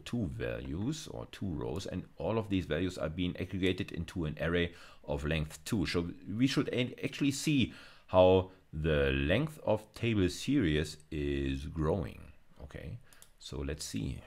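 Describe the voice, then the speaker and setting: A man's voice: very deep voice, expressive, unhurried, a little mellow. A middle-aged man giving an explanatory screencast lecture in an informal way, thinking aloud and correcting himself. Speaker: university lecturer. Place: indoors, recorded screencast with camera inset.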